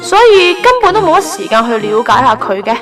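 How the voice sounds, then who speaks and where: Casting: actress as earnest young angel photographer, Hong Kong accent, high voice, lively intonation, radio drama. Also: female voice, fast, over music. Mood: musing, wistful. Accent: Hong Kong Cantonese. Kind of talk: Cantonese online radio drama acting.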